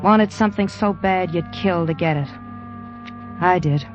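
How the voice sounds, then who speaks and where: A woman's voice: on the low side, a little slow, close to an everyday voice. An actress playing an unscrupulous, fatalistic crime queen, brooding and world-weary in a 1950s radio drama. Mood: bitter, fatalistic. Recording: dull vintage radio recording under loud music.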